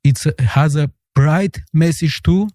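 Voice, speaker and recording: Man, Armenian accent, mellow, dry recording, halting, earnest, guest in an interview. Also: on the high side, no room sound. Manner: earnest, halting, searching for words. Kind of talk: interview answers.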